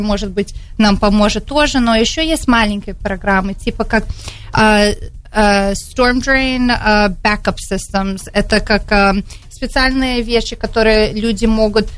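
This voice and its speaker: A woman's voice: medium pitch, a little slow, a bit bright, clean tone. Woman, American accent, hesitant, searching for words, faint music behind. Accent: American English. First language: English. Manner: hesitant, searching for Russian words, many fillers. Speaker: city council candidate. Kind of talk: radio interview answers. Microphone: studio microphone.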